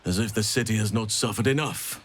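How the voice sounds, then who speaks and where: An actor playing a wry, suave adventurer in a video game, with measured, dryly formal speech. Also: male voice, on the low side, close to an everyday voice. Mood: wry, playful. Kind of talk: video game cutscene acting.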